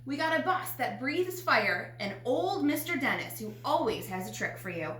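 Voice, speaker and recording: A woman's voice: high-pitched, sing-song, normal speed, a bit bright. A woman reading a storybook, playing a wise, upbeat grandmother with a folksy, chiding yet encouraging manner. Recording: roomy echo, faint noise.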